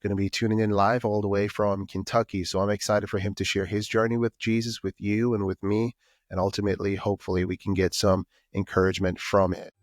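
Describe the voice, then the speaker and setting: Fast-talking podcast host. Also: male voice, on the low side, flat delivery. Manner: quick, friendly questions with fillers. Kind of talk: casual interview over video call.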